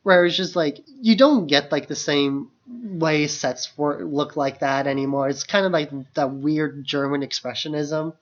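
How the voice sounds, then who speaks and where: A man's voice: on the high side, measured pace, hardly any pauses, lo-fi sound. A man co-hosting a podcast, chatting casually about films in loose phrasing peppered with "like". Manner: loose, filler-heavy phrasing with frequent "like". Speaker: podcast co-host. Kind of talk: casual film discussion.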